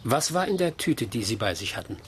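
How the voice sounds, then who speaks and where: An actor playing a dry, tough investigator in a German radio drama, terse and probing. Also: male voice, mid-range voice, sing-song, fairly quick, breathy edge. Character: dry, tough, unflappable investigator questioning witnesses.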